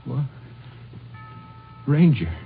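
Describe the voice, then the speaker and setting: A man's voice: medium pitch, sing-song, creaky, full character voice. An American man playing a poor, weary father who stammers, humbly overwhelmed, in a 1950s radio drama. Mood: bewildered. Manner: halting, stammering. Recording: dull old radio recording, faint music.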